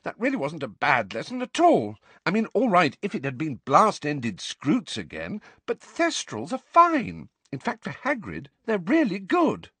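A man's voice: on the high side, wildly swooping pitch, measured pace, few pauses, a bit bright, slightly rough, dry recording, voice pushed up, full character voice. A man voicing an earnest, bookish teenage girl who speaks in an emphatic, impatient, insistent way. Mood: furious.

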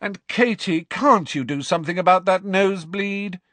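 Male narrator playing a sharp, high-voiced young woman captain barking orders in a storybook. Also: moderate pace, no room sound. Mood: bossy. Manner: sharp, commanding.

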